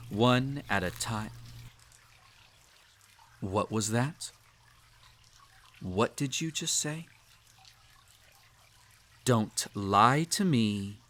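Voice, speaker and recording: Man acting slow, haughty, cruel emperor. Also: medium pitch, slight background noise. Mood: indulgent, teasing, then cold, menacing. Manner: haughty, condescending, drawn-out teasing delivery with soft chuckles. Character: cruel possessive emperor, condescending, silkily indulgent, menacing. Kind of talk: roleplay audio acting, intimate second-person monologue.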